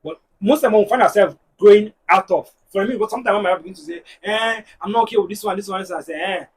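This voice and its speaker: Animated Nigerian man, high voice. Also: very fast, far from the mic, a little hiss or hum. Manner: animated, preachy, storytelling. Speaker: guest. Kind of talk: casual panel discussion.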